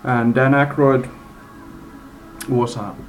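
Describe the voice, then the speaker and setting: A man giving an unscripted, casual movie-review rant in a halting, blunt way, in a homemade recording. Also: medium pitch, flat delivery. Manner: halting, rambling delivery. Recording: homemade, radio music playing behind. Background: music from a live radio.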